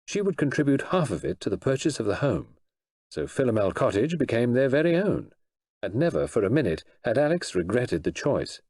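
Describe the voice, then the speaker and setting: A man reading fiction aloud as an expressive audiobook narrator. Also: mid-range voice, a little fast, breathy edge, dry recording, studio quality. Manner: expressive storytelling, voices the characters.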